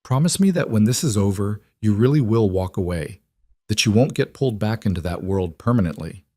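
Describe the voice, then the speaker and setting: A man's voice: on the low side, expressive, a little fast, a little mellow, no echo, clean studio sound. A man voicing a weary, wary and defiant pregnant wife, guarded and pleading, in audiobook dialogue.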